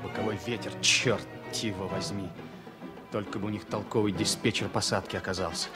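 An actor playing a brisk former military pilot with a clipped, tense manner in a Soviet radio play. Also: male voice, lowish voice, steady tone, over music, lightly acted. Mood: tense focus.

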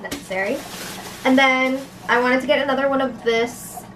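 A woman's voice: high voice, normal speed, a bit bright, slight background noise, poor audio. A woman in her twenties chatting casually in a vlog, picked up by a handheld camera. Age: 20s. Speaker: vlogger. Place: large store aisle, later home kitchen. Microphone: handheld camera at arm's length or a little further.

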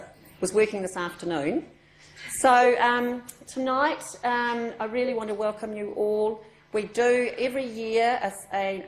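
A woman's voice: medium pitch, a little hiss or hum. A woman with a New Zealand accent welcoming a meeting in an informal, chatty way, with many ums and restarts. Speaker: education session organiser. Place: meeting room with a group. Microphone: far room microphone. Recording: echoey room.

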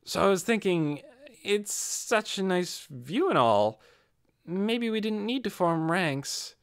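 A man's voice: high-pitched, very animated, takes it slowly, clean tone, voice pushed up, heavily acted. A man voicing a flippant, easygoing adventurer who needles companions in a fantasy audiobook. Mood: breezy.

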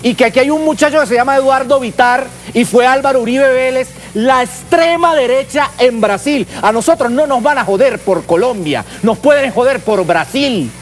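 A man's voice: very high-pitched, normal speed, hardly any pauses, faint music behind. A Venezuelan man hosting a political talk show, giving impassioned, colloquial commentary in an emphatic way with rhetorical questions.

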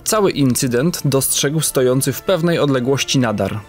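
A man's voice: medium pitch, lively intonation, moderate pace, soft background music. Man narrating an audio drama.

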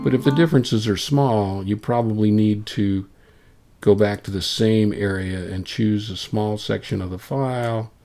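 A man presenting a casual software tutorial, thinking aloud informally as he demonstrates. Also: lowish voice, measured pace. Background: solo acoustic guitar clips playing.

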